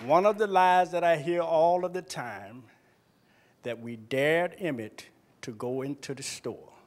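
A man giving firm, deliberate personal testimony on stage. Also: fairly high pitch. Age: 60s. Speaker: guest eyewitness. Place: auditorium stage. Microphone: handheld stage microphone.

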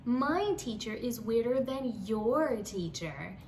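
A woman's voice: on the high side, animated, a little mellow, recorded from a distance, a little hiss or hum. A woman voicing a boastful, competitive schoolgirl with emphatic, smug delivery in a storybook. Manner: slow, emphatic bragging.

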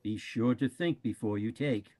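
A man acting a wise, patient panda bear in a play reading, measured and instructive. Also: medium pitch, darkish tone, lightly acted. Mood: instructing.

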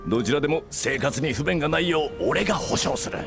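A gruff, meddling game father. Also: on the high side, animated, brisk. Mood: teasing. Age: middle-aged. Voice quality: gruff, rough-spoken. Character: boisterous middle-aged father, blunt meddling company boss. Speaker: company head and father of two sons. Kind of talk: Japanese game dub acting. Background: game music.

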